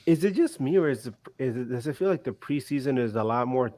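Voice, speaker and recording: A man's voice: mid-range voice, expressive, fast talker, dark tone, no echo. A man co-hosting a sports podcast, chatting casually and rambling with jokes, tired after a long day. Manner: rambling, joking, laughs often. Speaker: podcast co-host.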